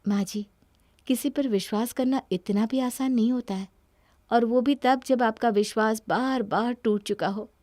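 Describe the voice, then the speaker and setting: A woman voicing a gentle, devoted young daughter-in-law with self-respect in a cartoon family story. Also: a little high, moderate pace, no room sound, clean studio sound, close to an everyday voice. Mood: sweet, respectful. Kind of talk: Hindi cartoon moral-story voice acting.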